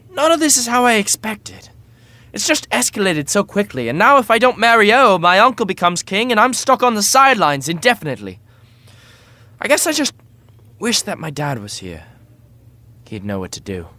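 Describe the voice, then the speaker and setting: Dramatic young party prince, very animated. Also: male voice, high voice, normal speed, dry recording, exaggerated voice. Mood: anxious, flustered, then downcast. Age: young adult. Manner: slangy, dramatic, boyish bravado. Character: young, dramatic, self-deprecating, tipsy party-boy prince. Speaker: actor in a full-cast audio drama. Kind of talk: modern romantic comedy audio drama, casual dialogue.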